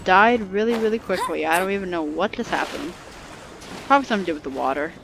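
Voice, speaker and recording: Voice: mid-range voice, expressive, fast, gravelly, low-quality recording. A video game streamer giving casual gameplay commentary in short muttered exclamations, with game music behind.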